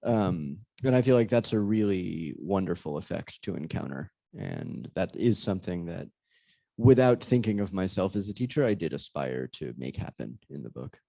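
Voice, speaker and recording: Thoughtful, low-voiced man with creaky tone. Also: expressive, dark tone, no room sound. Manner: thoughtful, halting, searching for words. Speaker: guest author. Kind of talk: live audience book discussion.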